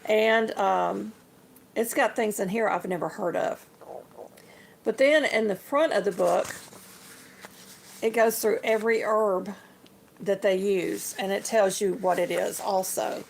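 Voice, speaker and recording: A chatty older woman with a drawl hosts a homey livestream. Her voice has a gravelly edge and a slight morning hoarseness. A faint hiss sits under the recording. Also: medium pitch, measured pace, long pauses. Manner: chatty, homey, addresses viewers as y'all. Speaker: livestream host. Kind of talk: casual livestream show-and-tell.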